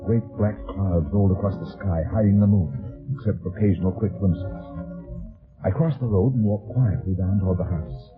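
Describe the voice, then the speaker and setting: Guy doing a tough deadpan detective narrating, lowish voice, old tinny fifties radio show. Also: normal speed, close to an everyday voice. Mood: tense, watchful. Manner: terse, hard-boiled, deadpan. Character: tough, weary, wry male private detective narrating his case. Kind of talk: 1950s radio detective drama, first-person narration. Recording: tinny 1950s radio recording with music.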